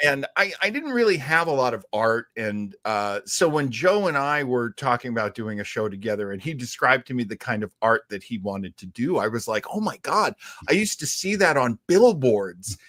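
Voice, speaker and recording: A man co-hosting a casual livestream chat, talking in an excitable, exclamatory way. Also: medium pitch, no echo, clean studio sound. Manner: excitable, exclamatory, quick to laugh. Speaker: show co-host.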